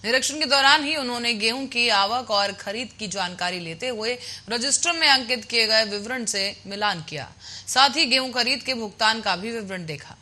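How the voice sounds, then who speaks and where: Woman news anchor, bright forceful tone, higher voice, scripted bulletin delivery. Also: normal speed.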